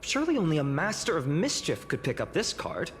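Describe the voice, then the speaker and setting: Curious young traveler, very lively pitch. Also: female voice, a little fast, a little hiss or hum. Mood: curious, wondering. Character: curious, earnest young traveler, thoughtful and wondering. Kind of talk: scripted game dialogue. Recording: long hall reverb over music.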